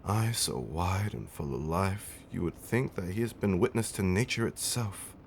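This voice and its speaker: A man playing a centuries-old vampire husband in an intimate comfort roleplay, tender and theatrically fussy, with genteel, old-fashioned wording and dramatic pauses. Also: sits low, animated, vocal fry, a little hiss or hum. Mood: playful affection. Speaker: audio roleplay performer.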